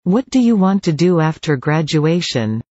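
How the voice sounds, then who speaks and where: A woman's voice: low-pitched, very animated, measured pace, dark tone, dry recording, muffled recording. A woman reading short English questions for a language lesson in a careful, scripted way. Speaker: English dialogue reader.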